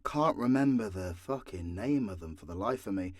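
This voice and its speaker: A man's voice: lowish voice, expressive, moderate pace, darkish tone, no room sound, clean studio sound. A man with a British accent chatting casually and candidly in an unscripted Q&A, swearing freely and poking fun at himself. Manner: candid, sweary, self-deprecating. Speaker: voice actor and audio channel creator.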